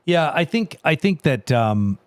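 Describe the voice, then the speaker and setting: A middle-aged man and native English speaker hosting a casual live Q&A in an animated, jokey, wordy way. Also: fairly high pitch, measured pace, no echo, studio quality. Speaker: host.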